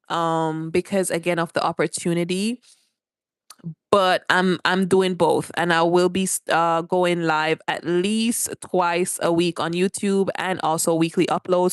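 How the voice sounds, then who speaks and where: Jamaican woman, stream host, smooth low-ish voice, dry close sound, friendly chatter with viewers. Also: no echo. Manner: chatty, greets viewers by name and reads comments aloud. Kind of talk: casual live-stream chat. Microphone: phone held for a vertical live stream.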